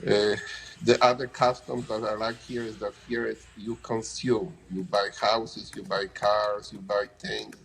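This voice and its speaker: A man's voice: on the low side, flat delivery, a little slow, creaky, fairly bright tone, slight background noise, poor audio. A middle-aged man with a Polish accent answering interview questions casually, often restarting phrases and adding "you know". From Poland. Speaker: interviewee, anesthesia provider.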